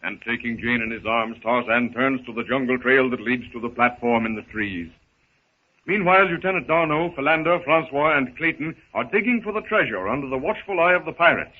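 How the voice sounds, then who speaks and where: A man's voice: mid-range voice, animated, a little fast. Theatrical male narrator, noisy tinny old radio. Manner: florid, theatrical. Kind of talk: dramatic scripted 1930s radio serial narration.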